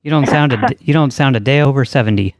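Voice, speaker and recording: A man hosting a prank call show in a casual, deadpan and insistent way. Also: medium pitch, quick pace, no echo, studio quality. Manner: casual, insistent, straight-faced.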